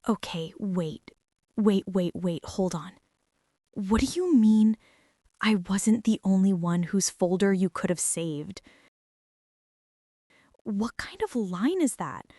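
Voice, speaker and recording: Woman acting a bossy flustered college girl, mellow and close. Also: medium pitch, expressive, slow, long pauses, dry recording. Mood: incredulous, flustered. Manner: dramatic, teasing, breathy whispers mixed with indignant exclamations. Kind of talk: girlfriend ASMR roleplay, intimate dramatic monologue.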